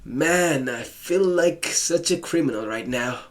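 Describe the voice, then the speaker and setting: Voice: medium pitch, animated, a little slow. A let's-play host talking casually over a visual novel, with a mid-range voice. The delivery is loose and conversational, full of wry questions and joking complaints aimed at the game. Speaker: gaming channel host playing a visual novel.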